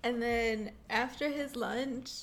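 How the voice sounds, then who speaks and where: A young woman chats on a podcast in a fairly high voice, giggling often and filling her sentences with "like." A faint hiss sits behind her. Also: fairly even intonation, slow pace, a bit bright. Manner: chatty, lots of "like" fillers, giggly. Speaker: podcast co-host. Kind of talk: casual chat.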